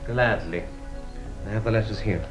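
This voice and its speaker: An actor playing a formal, composed London solicitor in a radio drama, speaking deliberately. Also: male voice, lowish voice, over loud music, lightly acted, poor audio. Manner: formal, deliberate reading aloud.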